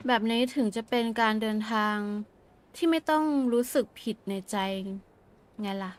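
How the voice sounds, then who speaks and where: A woman's voice: fairly high pitch, animated, rapid-fire. A woman giving casual, exclamatory reactions as a game streamer. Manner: informal, exclamatory. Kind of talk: casual gameplay reaction commentary.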